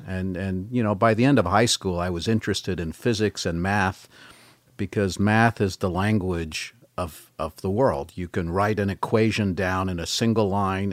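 An older man whose first language was French tells his story as a podcast guest. His voice sits very low and sounds dry and close. He pauses often to search for words. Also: dry recording. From Bay Area, California. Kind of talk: personal life story in conversation.

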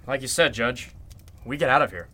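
A man's voice: mid-range voice, animated, normal speed, noisy background, close to an everyday voice. An actor playing an earnest man taking charge of a mystery in an old-style radio play, with urgent theatrical delivery. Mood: firm, concerned. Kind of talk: Golden Age style radio drama acting.

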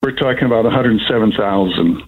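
An older man, sixties or above, giving an unscripted, rambling personal account as a caller, in a halting way. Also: fairly low pitch, breathy edge, narrow, tinny audio. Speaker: caller telling of being scammed.

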